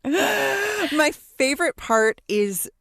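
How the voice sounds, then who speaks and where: A woman with a slightly high voice, recorded with little room sound. She talks as a casual podcast co-host, loose and amused in her delivery. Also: very animated, drawn-out words, gravelly, a bit bright, no room sound. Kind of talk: casual chat about a TV show.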